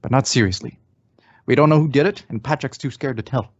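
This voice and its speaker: A man's voice: medium pitch, a little fast, natural voice. A man playing a gloomy, overworked prison warden in an old-time radio drama, blunt and world-weary. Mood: weary, exasperated. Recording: muffled, band-limited audio.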